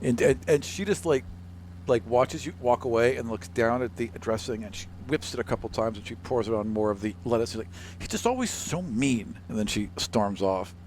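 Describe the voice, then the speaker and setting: A man's voice: mid-range voice, lively intonation, brisk, darkish tone, slight background noise, rough recording. A man on a tabletop gaming podcast, improvising characters and narration in casual chat as game master.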